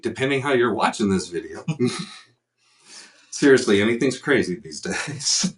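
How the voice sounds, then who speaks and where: A man with a Chicago accent hosting a casual interview, upbeat and joking, laughing easily. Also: fairly high pitch. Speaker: show host.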